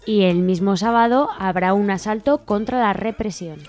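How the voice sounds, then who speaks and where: A woman's voice: medium pitch, normal speed, a little mellow, faint music behind. A woman from Spain, co-hosting a radio show and reading out event listings.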